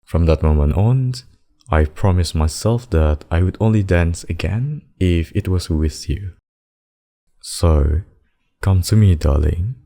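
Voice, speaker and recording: A man performs a possessive demon king with a very low, dark voice. He woos in slow, theatrical phrases, smug and courtly, with menace under the sweetness, in an ASMR roleplay. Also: gravelly, exaggerated voice. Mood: coaxing.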